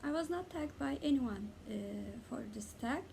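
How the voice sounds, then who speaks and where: A young Turkish woman with a Turkish accent, talking casually as a book vlogger and pausing often with "uh" between words. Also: high-pitched, lively intonation, vocal fry, warm tone, a little hiss or hum. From Turkey. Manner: hesitant, many "uh" pauses between words. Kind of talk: casual tag video talk.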